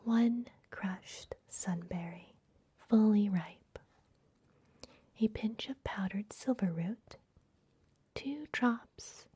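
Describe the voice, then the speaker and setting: A woman playing a secretive, soothing vampire librarian in a fantasy ASMR roleplay, speaking in a soft, breathy whisper close to the microphone. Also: mid-range voice. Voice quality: breathy, mostly unvoiced whisper. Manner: soft, gentle, unhurried, confiding.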